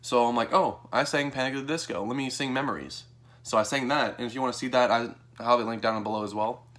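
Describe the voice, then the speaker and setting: Young american dude, animated storytime vlog, mid-pitched voice, chatty and informal. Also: male voice, quick pace. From Texas, United States. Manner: animated, acts out quoted dialogue in a higher voice. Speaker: vlogger. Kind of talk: casual storytelling to camera.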